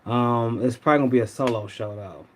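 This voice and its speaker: Middle-aged man, livestream host, slightly hoarse, relaxed and rambling, chats with viewers. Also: mid-range voice, fast, a little hiss or hum. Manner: laid-back, rambling, talks to viewers. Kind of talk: casual livestream chat.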